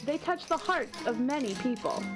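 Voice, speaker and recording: A woman reporter reading a scripted voice-over narration in a clear, even manner. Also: sits high, moderate pace, music in the background.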